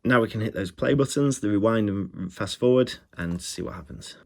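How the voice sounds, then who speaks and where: A man with a fairly low voice presents a casual, hands-on review. He talks like a host to his viewers, chatty and keen on the little details. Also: normal speed, gravelly, noisy background. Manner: chatty, enthusiastic about details. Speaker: retro electronics review host.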